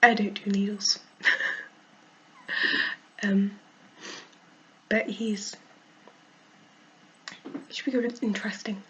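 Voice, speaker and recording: A woman with a cold and a croaky voice, frankly venting in a casual vlog and laughing often. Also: medium pitch, flat delivery, slow pace, pauses often, bright, forceful tone. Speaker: vlogger.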